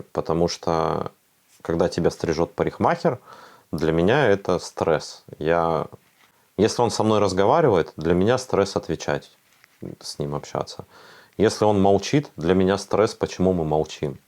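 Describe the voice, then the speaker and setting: A young Siberian-born man speaks in a low, deep voice. He sounds worn out and quiet, talking openly in a documentary interview and often letting his sentences trail off. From Siberia, Russia. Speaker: volunteer interviewee.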